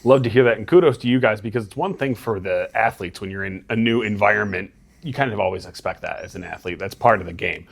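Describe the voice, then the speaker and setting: A man's voice: on the low side, fast talker, creaky, breathy edge. A young man hosting a casual sports interview, friendly and joking with his guest. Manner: friendly, jokey, banters with guest. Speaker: interview host.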